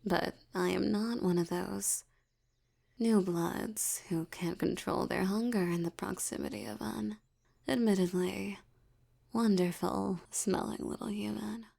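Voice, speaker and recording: A woman voicing a dominant, aristocratic vampire elder in an intimate audio roleplay, teasing and menacing, with a breathy voice that often drops toward a whisper. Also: medium pitch, fairly even intonation, warm tone. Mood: haughty, predatory. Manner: slow, teasing, menacing, with lingering pauses.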